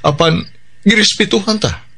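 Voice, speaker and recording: An actor playing a devout working father with a Bisaya Filipino accent, gently giving advice in a radio drama. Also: high-pitched, moderate pace, a little hiss or hum. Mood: gently admonishing. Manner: gently admonishing, earnest.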